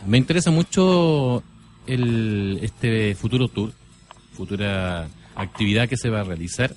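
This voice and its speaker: A man's voice: fairly low pitch, expressive, measured pace, a little mellow, a little hiss or hum. A man from Chile with a Chilean accent, hosting a conversational radio interview. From San Antonio, Chile. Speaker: radio host interviewing a guest. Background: background music.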